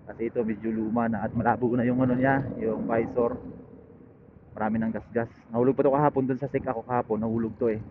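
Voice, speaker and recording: A man's voice: mid-range voice, little pitch movement, quick pace. Filipino man vlogging over phone-like helmet mic. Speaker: motorcycle vlogger. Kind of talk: casual unscripted ride chat to viewers. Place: outdoors, riding a motorcycle. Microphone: helmet intercom headset linked to action camera. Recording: phone-like helmet intercom mic, loud engine noise. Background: loud motorcycle engine and road noise.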